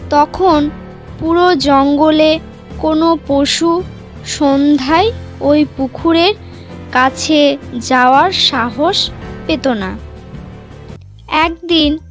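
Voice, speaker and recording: A woman narrating a scripted animal moral story for children. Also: high voice.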